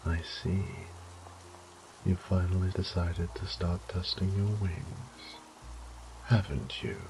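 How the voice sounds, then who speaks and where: A man's voice: deep voice, steady tone, slow pace, creaky, music in the background, exaggerated voice, rough recording. A man playing an ancient, bored vampire lord in a horror audio drama, theatrical and mock-tender, with menacing condescension and drops into whispers.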